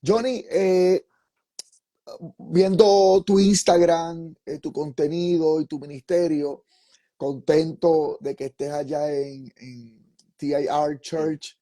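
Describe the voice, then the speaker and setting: Man, pastor and host, mellow tone, slightly high voice, fervent preaching. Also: steady tone, slow. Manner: fervent preacher, repeats praise phrases. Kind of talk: preaching, then hosting an interview.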